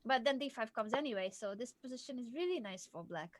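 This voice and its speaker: A woman's voice: on the high side, lively intonation, fast talker, no echo. A woman from southern Hungary, patiently explaining chess moves as a coach on a live stream. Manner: clear, patient explaining, laughs easily.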